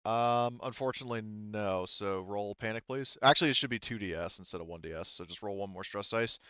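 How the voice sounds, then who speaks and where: Low, smooth-voiced man, dry dull audio. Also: fairly quick, a bit bright, dry recording. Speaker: game master. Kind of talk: running a tabletop roleplaying game, casual.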